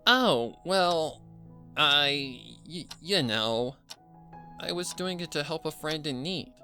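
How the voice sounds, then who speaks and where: A narrator voicing a young female unicorn pony who is caring, curious and modest, speaking in a gentle, earnest, slightly hesitant way for a story reading. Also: mid-range voice, animated, takes it slowly, soft background music, pitched above the performer's own voice, exaggerated voice. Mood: pleased, flustered.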